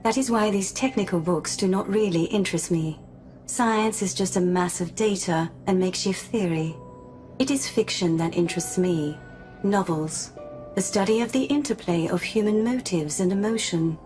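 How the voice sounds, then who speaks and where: An actress playing a calm, polite mind-reading female robot in a radio drama, speaking in a measured, formal, precise way. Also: mid-range voice, close to an everyday voice. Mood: calm, reflective. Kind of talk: scripted radio drama acting. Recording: music playing underneath.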